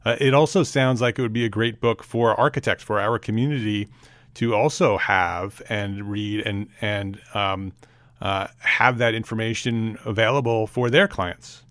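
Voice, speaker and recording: Low-voiced male podcast host, dry sound. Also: steady tone, moderate pace, fairly bright tone, no room sound. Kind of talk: podcast interview.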